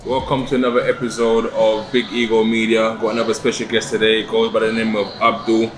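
A man hosting an interview and asking relaxed questions. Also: lowish voice, fairly quick, over music. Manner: relaxed, asks questions, uses fillers. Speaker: podcast host. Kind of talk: casual interview. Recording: echoey, noisy room.

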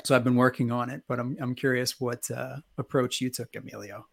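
Gravelly man asking curious questions. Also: medium pitch, level tone, a little fast. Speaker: podcast co-host. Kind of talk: casual interview chat.

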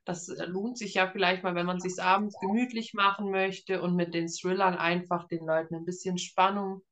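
A woman's voice: on the low side, level tone, normal speed, a bit bright. A woman in her thirties from northeastern Germany, chatting informally and a little hesitantly as a guest in an interview. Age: 30s. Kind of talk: casual interview chat.

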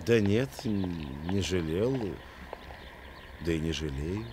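An actor playing a calm, dry-humoured police investigator, unhurried and understated, in a radio drama. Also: male voice, low voice. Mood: wry, gentle. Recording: noisy old radio play recording.

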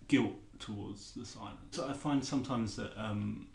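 A man's voice: on the low side, moderate pace, vocal fry, a little mellow, roomy sound. A man hosting a podcast, asking long, searching questions with fillers. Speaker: podcast host. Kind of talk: podcast interview.

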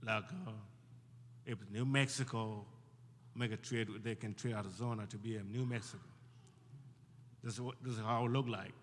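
A man's voice: lowish voice, steady tone, normal speed, pauses often, a little hiss or hum. A middle-aged man with an Ethiopian accent, originally from Ethiopia near the South Sudan border, explaining things plainly as a church guest. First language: South Sudanese language. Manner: plain-spoken, explaining in simple words. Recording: long reverb of a church hall.

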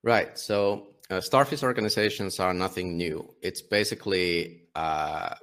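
A Finnish man, a publisher and guest, talking casually in a conversational interview, often halting and restarting his sentences. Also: low voice, a bit bright. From Finland. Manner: halting, many restarts and fillers.

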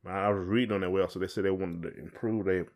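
A man's voice: low voice, steady tone, fast talker, a little mellow. A man co-hosting a podcast in casual chat, rambling and repeating phrases as he thinks aloud. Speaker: podcast co-host.

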